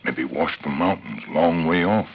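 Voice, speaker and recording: Terse western companion, slow delivery in broken English, very deep, on muffled old radio. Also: male voice, expressive, creaky, slightly rough, full character voice. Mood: calm, pondering. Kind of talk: 1940s radio western acting.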